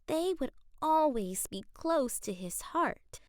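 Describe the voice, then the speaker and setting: A woman narrating a children's picture book aloud in an expressive, carefully paced storytime style. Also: high-pitched, takes it slowly, no room sound, clean studio sound.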